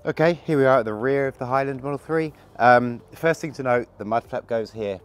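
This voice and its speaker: A man with a gravelly voice from a cold, casually talking through a hands-on installation while he works. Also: mid-range voice, brisk, faint music behind. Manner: relaxed, chatty, talks while working with hands. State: hoarse from a cold. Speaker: product installer demonstrating. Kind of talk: casual how-to demonstration.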